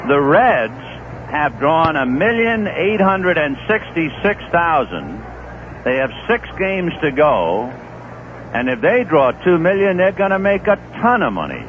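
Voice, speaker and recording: A man's voice: very animated, a little slow. Folksy man calling baseball on old radio. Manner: easygoing, folksy asides between pitches. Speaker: play-by-play baseball announcer. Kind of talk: radio play-by-play commentary. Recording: tinny old AM radio broadcast with faint hiss.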